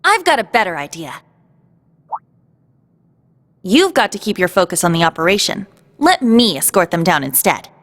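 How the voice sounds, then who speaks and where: A woman's voice: on the low side, big pitch swings, moderate pace, long pauses, a bit bright, in a large hall. An actress playing a spirited, confident young female cadet in a video game cutscene. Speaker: voice actor.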